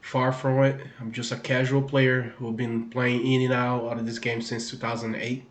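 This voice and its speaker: A man from Brazil with a Brazilian Portuguese accent, giving a relaxed, filler-heavy voice-over about a video game. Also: mid-range voice, moderate pace. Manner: relaxed, many fillers and restarts. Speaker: gaming YouTuber. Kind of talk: casual game guide voice-over. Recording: echoey room.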